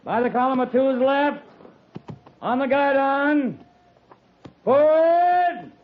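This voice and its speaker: An American man playing a seasoned, calmly stern cavalry captain in a 1950s radio drama, speaking in a measured, clipped, authoritative way. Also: very high-pitched. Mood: shouting orders. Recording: muffled, noisy 1950s radio recording.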